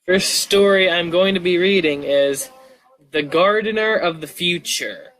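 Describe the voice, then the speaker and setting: A man's voice: high-pitched, animated, a little slow, a bit bright, low-quality recording. A man chatting casually on a livestream, rambling and muttering to himself as he goes. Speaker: live streamer.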